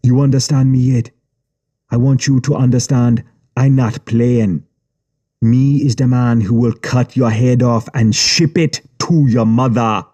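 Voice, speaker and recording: A man voicing a calm, menacing adult male gang boss who speaks in quiet, threatening, broken-grammar street talk. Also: medium pitch, unhurried, pitched above the performer's own voice, close to an everyday voice.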